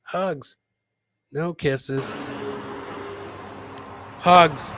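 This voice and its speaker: Casual male comedy host, fairly high voice. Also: fairly even intonation, drawn-out words, pushed and bright, a little hiss or hum. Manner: informal, rambling, joking asides. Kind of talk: casual live-show chat, commenting on clips.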